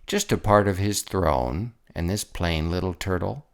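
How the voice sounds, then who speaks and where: A storyteller reading a children's picture book aloud in an expressive scripted narration. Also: sits low, unhurried.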